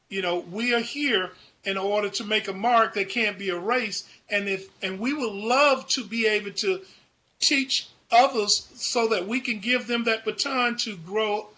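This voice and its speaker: A man with a notably high, bright voice gives earnest interview answers as a guest. His delivery is halting, and he often repeats words while working toward his point. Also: low-quality recording. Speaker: foundation founder, guest.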